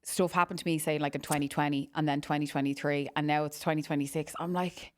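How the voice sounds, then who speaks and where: A woman in her late thirties with an Irish accent, hosting a podcast in a lively, casual way. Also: deep voice, fast. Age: 30s. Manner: lively, animated, with frequent "like". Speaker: podcast host. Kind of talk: casual chat.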